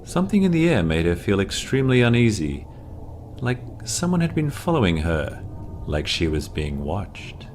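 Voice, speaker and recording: A man narrating a scripted horror story in a dramatic, suspenseful way, drawing out his pauses. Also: lowish voice, sing-song, darkish tone, slight background noise. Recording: music under the voice. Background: music.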